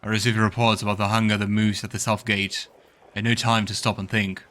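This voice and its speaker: A man voicing a big, calm, weary warrior chieftain in a fantasy game, unhurried and gruffly wry. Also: on the low side, close to an everyday voice.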